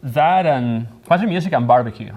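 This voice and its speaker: A man in his thirties, a professor from Spain, answering interview questions in a thoughtful, measured way with frequent ums. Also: mid-range voice, lively intonation, phone-quality sound, a little hiss or hum. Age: 30s. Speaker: Spanish professor. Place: studio.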